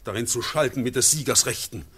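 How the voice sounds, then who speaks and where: An actor playing a steadfast Swiss farmer in a classic radio drama, speaking verse in a solemn, measured way. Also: male voice, medium pitch, fairly bright tone, husky. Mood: alarmed. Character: steadfast Swiss farmer, grave and anxious husband.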